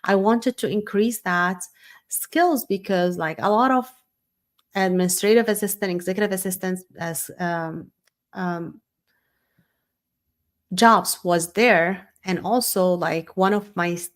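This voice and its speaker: Slow, unscripted woman. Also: on the low side. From Syria. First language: Arabic. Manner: loose, rambling, frequent fillers and restarts. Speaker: host. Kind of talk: unscripted live talk.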